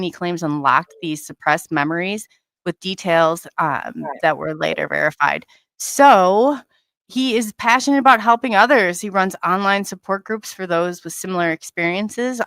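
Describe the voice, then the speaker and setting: A woman hosting a live online show, chatting warmly in a halting way and often restarting her sentences. Her voice sits at a middle pitch. The sound is dry, with no room echo. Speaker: host. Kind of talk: casual online show interview.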